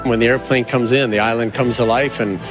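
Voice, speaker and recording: A man's voice: medium pitch, steady tone, brisk. A man speaking in a promotional documentary interview. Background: music.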